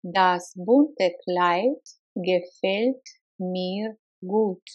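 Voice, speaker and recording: Lady teacher repeating phrases super slow, each word spaced out, kinda muffled audio. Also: lowish voice. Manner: slow, deliberate, clearly spaced repetitions. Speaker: language teacher. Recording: slightly dull lo-fi sound.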